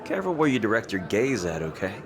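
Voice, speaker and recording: A man playing a teasing rival king in an intimate dramatic roleplay, halting and smug yet easily flustered. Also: on the low side, very animated, over music. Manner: halting, teasing, with soft chuckles and pauses.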